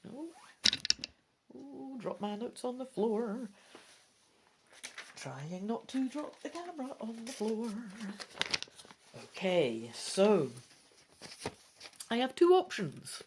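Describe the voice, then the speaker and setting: Expressive woman reading an adventure aloud. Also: fairly high pitch, slow, pauses often, noisy background. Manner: theatrical, expressive, chatty. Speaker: presenter of a gamebook playthrough. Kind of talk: dramatic reading aloud mixed with casual commentary.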